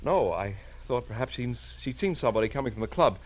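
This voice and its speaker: A man's voice: medium pitch, lively intonation, fast talker, close to an everyday voice. A man playing an anxious, polite bridegroom, hesitant and stammering, in a 1940s radio drama. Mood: worried. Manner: fretful, hesitant, stammering, formal. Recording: noisy vintage radio recording.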